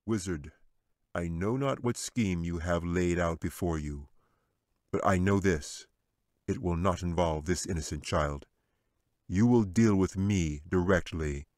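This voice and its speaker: A man's voice: deep voice, unhurried, a little mellow, dry recording, studio quality. A man voicing a stern, protective male angel in a storybook, firm and grave. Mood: defiant.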